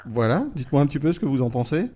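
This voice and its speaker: A man's voice: medium pitch, expressive, racing, mellow, slight background noise, natural voice. A man playing a businesslike but easily annoyed TV producer on a prank call, hesitant and repeating words. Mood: polite, businesslike. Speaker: radio host making prank call. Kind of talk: prank phone call, semi-formal pitch.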